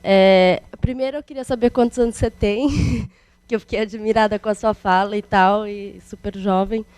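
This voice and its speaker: A woman's voice: medium pitch, fairly even intonation, moderate pace, darkish tone, clear, resonant tone, dry recording. A woman with a Brazilian accent casually putting questions to a speaker at a public discussion. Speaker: audience member asking questions.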